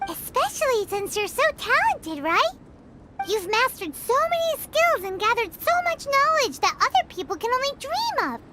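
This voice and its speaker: A woman playing a tiny, chatty, excitable childlike companion in a video game, squeaky and theatrically exclamatory. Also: normal speed, very noisy. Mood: flattering. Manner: refers to herself in the third person, exclamatory, theatrical. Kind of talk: video game character dialogue. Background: game music.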